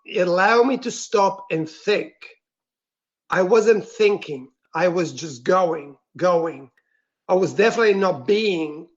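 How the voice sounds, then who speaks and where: A man from Switzerland, a guest on an interview, telling his personal story in an earnest, reflective and soft-spoken way. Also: high-pitched, lively intonation, slow pace. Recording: dull, lo-fi sound.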